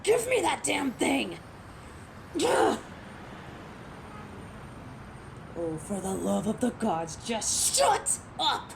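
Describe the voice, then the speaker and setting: A woman's voice: fairly high pitch, wildly swooping pitch, takes it slowly, vocal fry, background noise, heavily acted. A woman voicing a commanding, exasperated and ruthless female alpha werewolf in a dramatic roleplay audio, imperious and sardonic. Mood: exasperated, angry.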